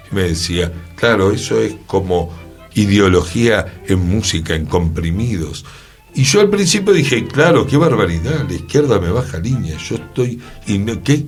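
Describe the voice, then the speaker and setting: A man with a Rioplatense Argentine accent discussing ideas conversationally, emphatic and given to rhetorical tag questions. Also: on the low side.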